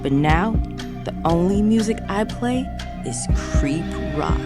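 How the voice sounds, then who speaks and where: A dead-eyed rock-star monster co-hosting a horror show. The voice sits a bit low and swings dramatically, drawing words out with swagger. Music runs underneath. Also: female voice, lively intonation, slow. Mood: cool, ominous. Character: cool, dead-eyed ex-rocker monster in sunglasses. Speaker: podcast co-host. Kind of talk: scripted horror storytelling.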